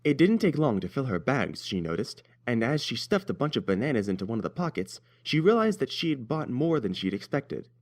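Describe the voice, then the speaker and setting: A man's voice: medium pitch, sing-song, fairly quick, few pauses. A man narrating a scripted story as its reader.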